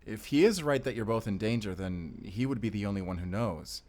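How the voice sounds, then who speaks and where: A man playing a caring, playful but protective boyfriend in an audio roleplay, speaking in a soft, casual, hesitant way. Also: on the low side, lively intonation, a little fast, close to an everyday voice. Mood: worried, cautious. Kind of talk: audio roleplay acting addressed to the listener.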